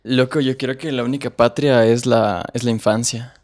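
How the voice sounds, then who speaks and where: A young man speaking Spanish with a Quito accent, a podcast guest who works through ideas out loud. He pauses on hesitant fillers and repeats words as he builds an analytical point. His pitch sits in the ordinary middle range for a man. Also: fairly even intonation. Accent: Quito Ecuadorian Spanish. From Ecuador. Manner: analytical, thinks aloud with many hesitant fillers. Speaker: guest, economist. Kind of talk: conversational interview.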